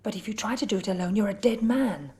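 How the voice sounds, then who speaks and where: An actress playing a cool, scheming female intelligence handler in a video game cutscene, measured in manner. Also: mid-range voice, warm tone, close to an everyday voice. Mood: urgent, hushed. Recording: background music over dialogue.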